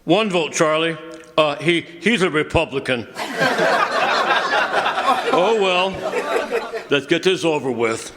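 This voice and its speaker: A man's voice: on the high side, slow pace, pauses often, heavy background noise. A man playing a hesitant, stammering elderly judge and grandfather in an old-fashioned radio drama. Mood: flustered, hesitant. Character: flustered elderly judge and grandfather, anxious politician. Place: live performance on a college campus. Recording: reverberant hall stage recording.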